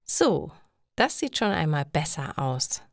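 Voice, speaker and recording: Langsam sprechende, zufriedene junge Studentin von einer Erzählerin gespielt. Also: female voice, sits low, sing-song, takes it slowly, vocal fry, no echo, clean studio sound, exaggerated voice. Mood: contented. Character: young female student, cheerful, contented, newly moving in.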